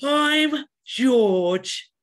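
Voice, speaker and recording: A woman's voice: fairly high pitch, drawn-out words, pitched above the performer's own voice. A woman voicing a plump male robin who grumbles drowsily. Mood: grumpy.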